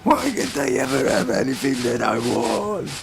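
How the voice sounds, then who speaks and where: Man putting on a creaky, sulking, lonely beast. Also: medium pitch, sing-song. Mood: bitter outburst. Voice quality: creaky, whimpering. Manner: slow, sulky, melodramatic outbursts. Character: huge self-pitying whiny furry male beast, lonely and bitter. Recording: loud guitar music behind.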